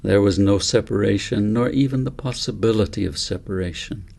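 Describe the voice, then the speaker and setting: A man narrating an audiobook story in a gentle, measured, deliberate way. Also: lowish voice, vocal fry. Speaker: author reading own book aloud.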